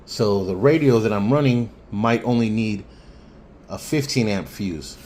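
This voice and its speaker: A man's voice: on the low side, measured pace, darkish tone, a little hiss or hum. A man talking through a hands-on tutorial in an informal, plainspoken way, thinking aloud as he explains. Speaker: hobbyist installer giving a how-to. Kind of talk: casual hands-on tutorial talk.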